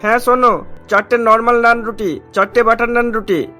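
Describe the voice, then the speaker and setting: A rude, arrogant rich customer, played by a man in a cartoon, bossy and contemptuous in a mid-pitched voice. Light music sits under him. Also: fairly even intonation, moderate pace, sharp tone.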